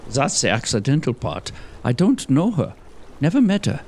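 A man playing a fussy, excitable young lawyer in a comic audio drama, with a fretful, theatrical delivery. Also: mid-range voice, moderate pace, a little hiss or hum, natural voice. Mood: comic, self-important. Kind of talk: gothic comedy audio drama dialogue.

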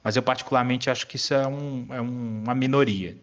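A man's voice: mid-range voice, steady tone, a little fast, dull audio. A Brazilian man aged twenty-nine chatting casually as a history teacher, explaining things informally with slang and some swearing. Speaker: history teacher and podcast guest. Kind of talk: casual conversation.

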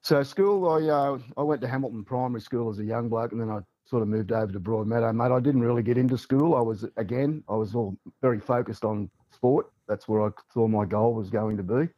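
A man's voice: medium pitch, fairly quick, few pauses, no room sound, lo-fi sound. A man with an Australian accent, a former rugby league player, chatting casually as a podcast guest and saying "mate" a lot. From Newcastle, Australia. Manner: relaxed, chatty, says mate often. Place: on the line for a podcast.

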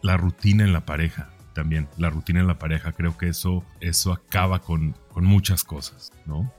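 A man in his forties with a deep, low voice speaks as a podcast host over music. He thinks aloud about himself in a conversational way, often rounding off a thought with a small questioning tag. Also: fairly even intonation, normal speed, darkish tone. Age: 40s. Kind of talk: reflective personal podcast monologue.

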